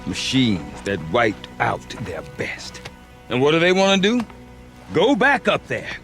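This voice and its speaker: Cynical frontier trader, sardonic, lively swings in pitch, conversational game dialogue. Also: male voice, a little slow, faint music behind, full character voice. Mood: scornful. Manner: sardonic, colloquial, dismissive. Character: wry, grumbling, cynical male frontier trader.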